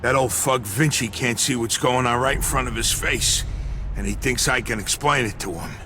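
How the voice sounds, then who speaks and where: A man playing a brash, foul-mouthed mobster in a video game cutscene, blunt and gruff. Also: mid-range voice, moderate pace, background noise, natural voice. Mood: defiant, scornful.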